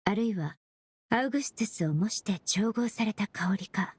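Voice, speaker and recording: An actress playing a calm, polite young woman perfumer in an anime game, precise and soft-spoken. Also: medium pitch, normal speed, no echo. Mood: matter-of-fact. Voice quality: soft, breathy.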